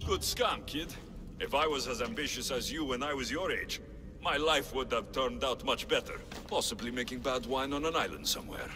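A man's voice: mid-range voice, normal speed, close to an everyday voice. An actor playing a dry, skeptical male mercenary hero with a sardonic wit, in a video game. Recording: game music underneath.

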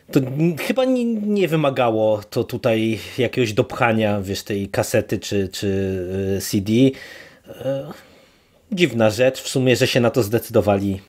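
Man, medium pitch, podcast co-host, casual review, hesitant, thinking aloud. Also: darkish tone.

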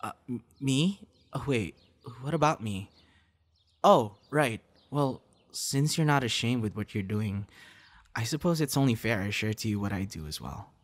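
A man playing a friendly, shy young budding voice actor chatting casually with a stranger in an ASMR roleplay, hesitant and softly teasing. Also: medium pitch, lively intonation, measured pace. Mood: bashful. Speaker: audio roleplay performer. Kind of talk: ASMR roleplay, casual one-sided conversation.

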